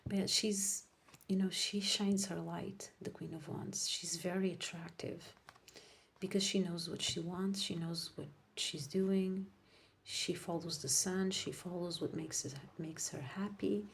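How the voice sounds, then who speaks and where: A woman whose first language is Portuguese, giving a casual tarot reading to viewers, rambling and correcting herself, often dropping into soft whispers. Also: lowish voice, fairly even intonation, unhurried, creaky, a little hiss or hum. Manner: drifts into soft whispers while laying cards. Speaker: tarot reader.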